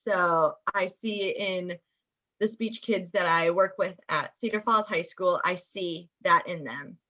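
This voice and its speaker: A woman's voice: on the low side, measured pace, pushed and bright. A young woman with a Midwestern American accent, chatting casually as an interview guest on a slightly muffled recording. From suburbs of Chicago, United States. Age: young adult. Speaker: guest, theater education student.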